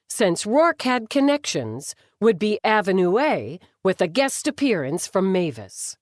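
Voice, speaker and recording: Expressive woman narrating, very dry close sound. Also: medium pitch, no echo. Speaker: audiobook narrator. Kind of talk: scripted fiction narration.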